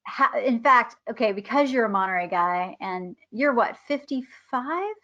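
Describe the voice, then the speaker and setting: Bubbly higher-voiced woman host. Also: animated, unhurried, pushed and bright, muffled recording. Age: 50s. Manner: bubbly, upbeat, chatty. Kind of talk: casual interview chat.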